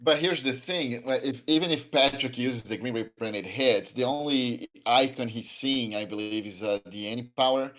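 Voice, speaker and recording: A man's voice: mid-range voice, normal speed, hardly any pauses, fairly bright tone. A man giving live color commentary on a card game match, chatty and agreeable. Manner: chatty, agreeable, frequent fillers.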